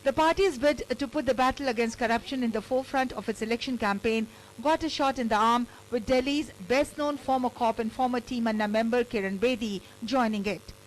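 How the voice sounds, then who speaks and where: A woman reporter with an Indian accent narrates a scripted news package in a fairly high voice. There is a faint hum behind her. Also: brisk, few pauses, low-quality recording.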